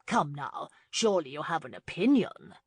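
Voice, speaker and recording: A woman voicing an imperious, impatient elderly lady who briskly decrees things in an audiobook novel. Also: deep voice, very animated, husky, no echo, exaggerated voice. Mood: pressing.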